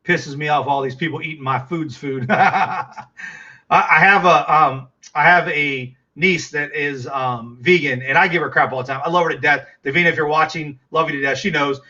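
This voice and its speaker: Middle-aged Texan man, livestream host, rambling and chatty, slightly high bright voice. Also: normal speed, muffled recording. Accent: Texas. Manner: rambling, chatty, full of fillers and restarts. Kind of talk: casual livestream chat.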